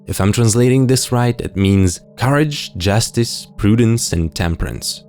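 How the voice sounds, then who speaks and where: Deep-voiced man narrating over soft music. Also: measured pace. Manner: wry, speculative, explanatory. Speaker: video essay narrator and host. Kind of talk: analytical scripted narration with conversational asides. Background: soft music bed.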